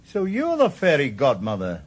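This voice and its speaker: A man's voice: mid-range voice, wildly swooping pitch, normal speed. A middle-aged man with a Welsh accent, playing a stubborn, sardonic retired detective with a dry, probing manner in a radio drama.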